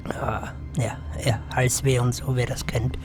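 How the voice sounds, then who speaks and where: A hoarse man hosting a let's play, commentating casually with rambling, mumbled asides, sitting close to the mic. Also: medium pitch, flat delivery, a little slow, over music. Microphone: close to the microphone.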